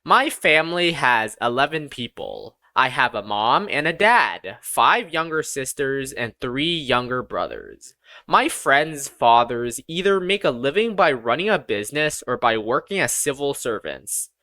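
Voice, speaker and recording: An audiobook narrator reading a scripted first-person story in an expressive, deliberate storytelling manner. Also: medium pitch, dry recording.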